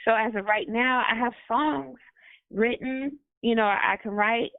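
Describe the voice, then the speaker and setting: A woman's voice: a little high, unhurried, no echo. A woman musician talks about her music in a loose, chatty way and apologizes for long answers. Her voice comes through a thin, phone-like line with no room sound. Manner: chatty, apologetic, laughs often. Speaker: guest musician. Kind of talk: casual interview answers. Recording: tinny phone or call line.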